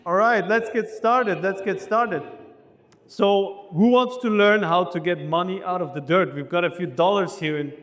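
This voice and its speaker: A man's voice: sits high, moderate pace, fairly bright tone. A man with a Belgian accent giving an enthusiastic motivational business presentation to a live audience, as a keynote speaker. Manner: enthusiastic, rallying the audience with questions. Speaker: keynote speaker, landscape architect. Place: indoor event hall, speaking on stage. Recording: reverberant hall sound.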